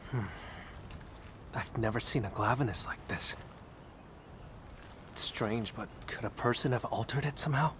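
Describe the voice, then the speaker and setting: An actor playing a confident, curious young prince in a video game, thoughtful and decisive. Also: male voice, mid-range voice, moderate pace, pauses often, background noise, close to an everyday voice. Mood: pondering. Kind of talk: video game cutscene acting. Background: music.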